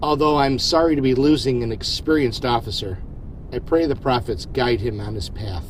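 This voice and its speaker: Starship captain, male actor: steady, modest, reflective; medium-pitched voice over a rumbling ship background. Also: moderate pace, background noise, lightly acted. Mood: formal, composed. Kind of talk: science fiction audio drama acting.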